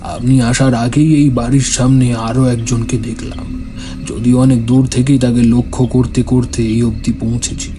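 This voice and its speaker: A man's voice: medium pitch, little pitch movement, moderate pace, noisy background, close to an everyday voice. A man playing a grave, solemn village priest and occult healer in an audio horror drama. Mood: cautious, explaining.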